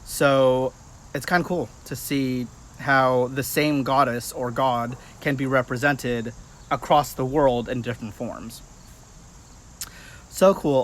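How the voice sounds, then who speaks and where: A man's voice: medium pitch, fairly bright tone, slight background noise. A man in his late twenties chatting casually and informally to viewers on a livestream. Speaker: livestream host, practitioner of folk magic.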